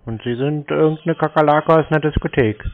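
A man talking casually on the phone, giving curt, stubborn, deadpan replies. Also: on the high side, fairly quick. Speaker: prank call recipient baiting scam callers. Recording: muffled, band-limited phone call audio.

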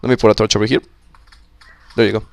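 A young man with a slightly sick voice, giving casual, excitable commentary on a co-op game with friends on a close mic. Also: fairly low pitch, fast talker, creaky, no room sound. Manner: excitable, swearing, joking with friends. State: sick, voice a little off. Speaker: gameplay commentator. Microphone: close-up desk mic.

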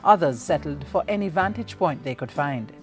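A woman reading scripted news narration in a formal, solemn, measured manner. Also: deep voice, lively intonation. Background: music under voice-over.

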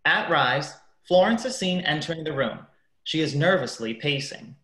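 A man narrating a scripted radio play in a measured, announcing manner. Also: on the high side, expressive, bright, forceful tone. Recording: echoey room.

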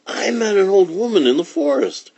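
Man doing an eager, high-pitched young girl. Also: expressive, moderate pace, a little mellow, breathy edge, dry recording, lo-fi sound. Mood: explaining. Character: kind, eager young girl, caring big sister.

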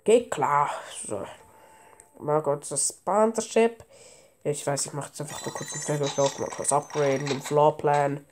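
A woman's voice: low voice, background noise. A woman with a cold giving offhand, casual commentary while playing a game at home. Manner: offhand, mixes English game terms. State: has a cold. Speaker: let's play gamer.

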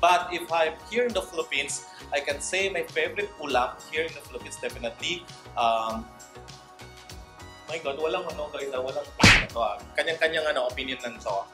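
A man's voice: fairly high pitch, brisk, roomy sound, loud music behind the voice. A man in his thirties from Indonesia, chatting playfully to camera as a vlogger. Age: 30s. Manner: chatty, playful, mixes languages. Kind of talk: casual chat to camera. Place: indoor room with wood-panelled wall.

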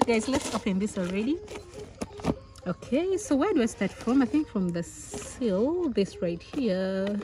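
A young woman from Uganda, a vlogger, unboxing outdoors in a village yard, talking with giddy excitement, laughing often and exclaiming. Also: on the high side, takes it slowly, background noise. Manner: giggly, breathless with excitement, frequent laughs and exclamations. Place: outdoors in a rural village yard, seated on a mat.

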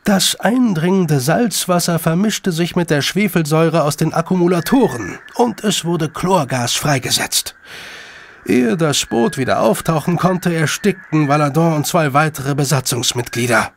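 A man acting a shrewd, condescending gentleman in an audio drama, with formal, measured phrasing and a creaky voice. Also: fairly high pitch, animated, slight background noise. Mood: grave, explaining. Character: shrewd, superior gentleman, elder brother of a detective.

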